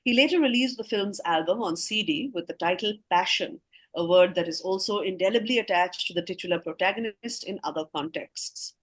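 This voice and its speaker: Low-pitched woman hosting a quiz. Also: a little fast. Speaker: quizmaster. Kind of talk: reading scripted quiz questions with casual asides.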